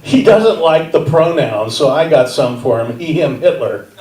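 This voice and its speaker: A man's voice: a little high, expressive, moderate pace. Guy hosting a comedy showcase, dry jokes, roomy hall, audience laughing a lot. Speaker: comedy show host. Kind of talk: stand-up emcee set. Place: comedy theater stage. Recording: roomy live theater, mic at a distance, crowd.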